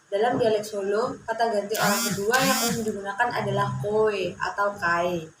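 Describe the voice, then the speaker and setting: A young woman, a university student, reading her class presentation aloud at a steady pace and often restarting words she trips over. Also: mid-range voice. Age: young adult. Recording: echoey room with faint background noise.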